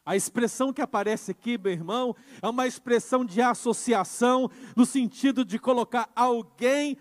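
A man preaching with a very high voice, his words ringing out in a church hall with long reverb. He drives his points home, dropping low and quiet, then rising and pressing louder. The sermon style is earnest, addressing the congregation directly. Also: moderate pace. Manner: emphatic preaching, swings from soft to loud. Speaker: pastor.

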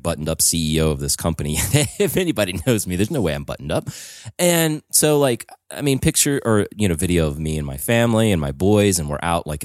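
A deep-voiced man hosts a podcast, wandering through animated stories packed with "like" and restarts. The sound is dry and close, with no room echo. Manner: rambling, animated storytelling with many "like"s. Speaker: podcast host. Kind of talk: casual podcast chat.

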